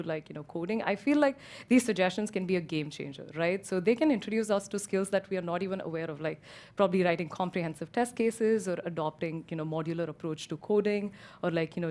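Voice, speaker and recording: A woman's voice: lowish voice, darkish tone. A woman speaking as a student on a panel, rambling and filling her talk with "you know" and "like". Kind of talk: panel discussion.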